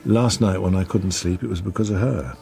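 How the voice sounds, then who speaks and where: An actor playing an earnest, anguished man in a radio drama, speaking in a halting, intimate way. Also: deep voice, creaky, over music. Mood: anguished, confiding.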